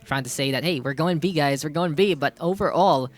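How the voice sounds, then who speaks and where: A man with a Filipino accent doing live play-by-play esports commentary in an animated, slangy hype style. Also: fairly high pitch, a little fast.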